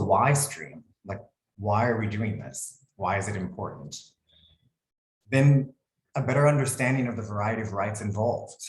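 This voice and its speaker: A man with an American accent, a lawyer presenting a webinar in a relaxed, conversational way. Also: on the low side, echoey room, poor audio. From United States. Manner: relaxed, conversational, frequent ums and "cool".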